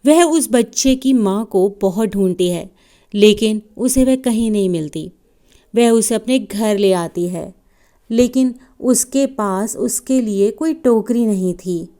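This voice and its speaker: A woman's voice: medium pitch, slow, mellow. A woman narrating a scripted children's story as a storyteller.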